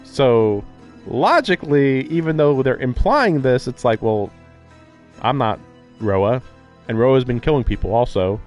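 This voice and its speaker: A man's voice: mid-range voice, lively intonation, a little mellow. Man musing aloud over background music. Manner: musing, thinking aloud in halting fragments. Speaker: live streamer. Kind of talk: casual live commentary on a visual novel playthrough.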